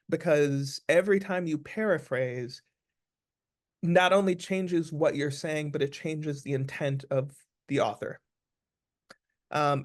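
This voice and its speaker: Earnest man with a slightly high voice. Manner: earnest, emphatic, repeats phrases for stress. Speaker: interview guest, actor. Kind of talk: conversational interview advice.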